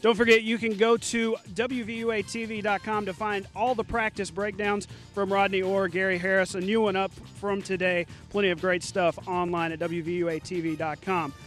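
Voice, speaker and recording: High-pitched male TV sports anchor. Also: little pitch movement, moderate pace, hardly any pauses, a bit bright, faint music behind. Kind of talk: live sportscast, conversational and jokey.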